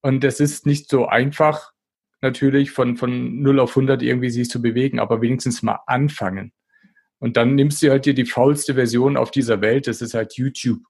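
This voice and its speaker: A man's voice: mid-range voice, steady tone, moderate pace, darkish tone, husky. A man talking casually as a podcast guest, in a blunt, colloquial, motivational way. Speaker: podcast guest, diabetes expert. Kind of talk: casual conversational interview talk.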